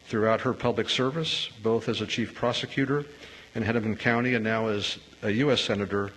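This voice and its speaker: A man acting as master of ceremonies gives a steady, formal introduction from the podium. His voice is on the low side. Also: slight background noise, low-quality recording.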